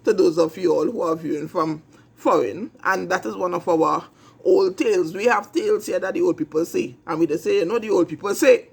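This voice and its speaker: A Trinidadian man with a raised pitch and a gravelly, husky edge to his voice. He hosts his own show and delivers a blunt commentary monologue, hammering each point by repeating it and swearing freely. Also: fairly quick. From Trinidad and Tobago. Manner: emphatic, repetitive, blunt and profane. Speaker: host of an online talk show.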